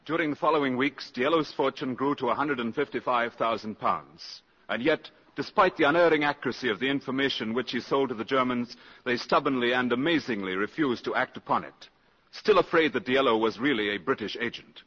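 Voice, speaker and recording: An actor narrating a wartime spy drama in a formal, measured 1950s radio style. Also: male voice, medium pitch, a bit bright, breathy edge. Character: grave storyteller of a wartime spy tale. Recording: dull 1950s radio broadcast.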